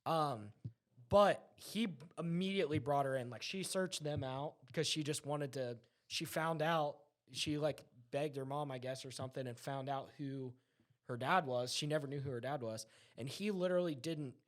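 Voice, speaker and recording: A man's voice: on the high side, moderate pace. A man chatting casually, retelling anecdotes in an enthusiastic, rambling way.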